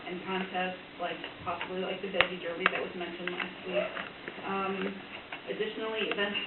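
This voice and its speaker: Female city staffer, prepared meeting report, tinny sound, far from the mic in a heavily reverberant room. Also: mid-range voice. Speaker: city staff member presenting to council. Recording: very echoey room, distant mic, tinny, loud noise.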